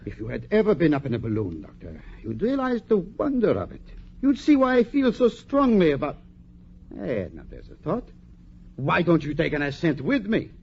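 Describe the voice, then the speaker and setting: A muffled 1940s radio recording of an eager young adventurer. His voice sits slightly high and leaps dramatically in pitch, earnest and excitable throughout. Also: moderate pace, gravelly, breathy edge, exaggerated voice. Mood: enthusiastic. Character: eager, daring young male balloon enthusiast.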